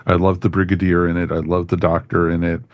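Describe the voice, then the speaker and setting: A man's voice: low voice, monotone, fast. A man co-hosting a podcast, giving an enthusiastic, casual review as he chats with others. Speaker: podcast co-host reviewing audio dramas.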